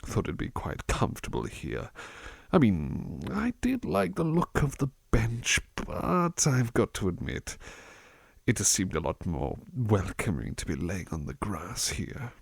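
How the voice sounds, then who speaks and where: Man playing a low, gravelly, doting butler. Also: huge pitch swings, unhurried, dry recording, heavily acted. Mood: warm, courteous. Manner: hesitant, polite, tender, old-fashioned courteous phrasing. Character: gentle, doting butler, courteous and attentive. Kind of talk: ASMR roleplay, softly spoken to the listener.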